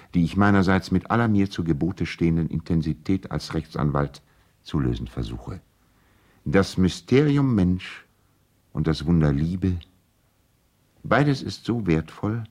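Deep-voiced, courteous, idealistic lawyer. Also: male voice, a little mellow, full character voice. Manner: formal, measured, eloquent. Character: courteous, idealistic male lawyer, earnest and gently ironic. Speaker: actor in a radio play. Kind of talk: radio drama letter monologue.

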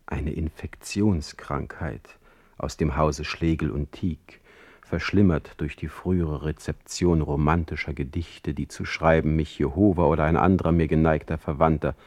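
An actor playing a witty, sardonic, ailing middle-aged poet in a radio play, speaking with eloquent, mocking irony. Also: male voice, rumbling low, fairly even intonation, moderate pace, a little mellow. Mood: wry, dictating. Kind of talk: radio play acting with letter narration.

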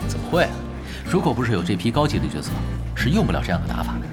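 A man's voice: lowish voice, very animated, racing. A man acting a young, nonchalant guild leader in a Chinese audio drama, teasing and tongue-in-cheek. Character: shameless, teasing young male gamer guild leader, unruffled. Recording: loud music behind the voice.

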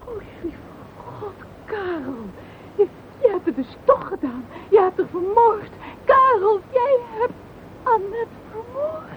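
Radio play heroine: very high, agitated, stammering and breathless, panic turning to scheming, thin old recording. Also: female voice, lively intonation, takes it slowly, phone-quality sound, a little hiss or hum, heavily acted. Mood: horrified, tearful. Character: panicking, scheming woman shocked by her sister's death.

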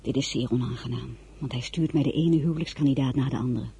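A woman playing a spirited, proud and headstrong young merchant's daughter in a radio drama, with formal, expressive delivery. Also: extremely low pitch, fast, full character voice. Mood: pensive, uneasy. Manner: crisp formal refusals, indignant and expressive. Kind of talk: radio drama acting with first-person narration.

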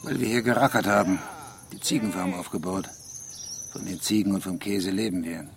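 An actor playing an embittered, hot-headed goat farmer in a radio crime drama. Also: male voice, low voice, moderate pace, crowd noise. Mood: bitter.

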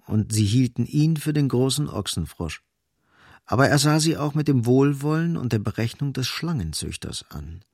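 A man's voice: medium pitch, a little mellow, slightly rough, no room sound, studio quality. A man narrating a literary radio drama in a grave, dramatic storytelling manner.

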